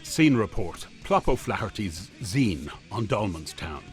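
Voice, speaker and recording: A man playing the deadpan narrator of a satirical radio play. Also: on the low side, measured pace, over loud music. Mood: dry, ironic.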